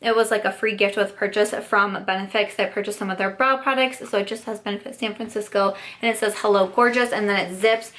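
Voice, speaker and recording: A young woman with a hoarse, raspy voice, talking in a bubbly, casual way as a beauty vlogger. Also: medium pitch, fairly even intonation, fairly quick, hardly any pauses, fairly bright tone. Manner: bubbly, upbeat, lots of "like". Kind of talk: casual chatty vlog.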